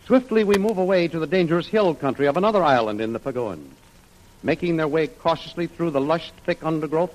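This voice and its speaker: A man's voice: fairly high pitch, poor audio. A male announcer narrating an old radio adventure serial in a dramatic, declamatory style.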